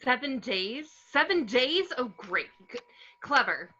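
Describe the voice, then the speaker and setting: Challenging, worried female scientist, acted by a woman. Bright forceful voice, direct script-read delivery, slightly muffled sound. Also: sits high, big pitch swings, measured pace, full character voice. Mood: exasperated. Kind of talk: amateur screenplay table read.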